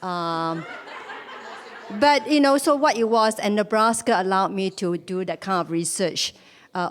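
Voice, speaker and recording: A woman workshop participant sharing her experience, halting at first, then animated. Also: medium pitch. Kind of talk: spontaneous sharing in a group. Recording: hall reverb, distant mic.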